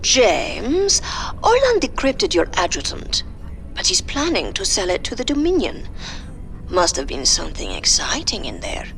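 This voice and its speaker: A woman's voice: mid-range voice, wildly swooping pitch, a little slow, fairly bright tone, full character voice. An actress playing a flirtatious, scheming female mercenary leader in a video game, teasing and playful.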